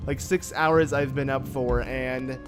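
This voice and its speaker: A young man with a slightly raspy voice giving casual, joking commentary over a video game he is playing, full of fillers and self-mocking asides. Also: mid-range voice, fairly even intonation, a little slow. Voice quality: slightly raspy from just waking. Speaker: gameplay commentator. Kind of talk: casual let's-play commentary. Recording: game music faint underneath. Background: game music.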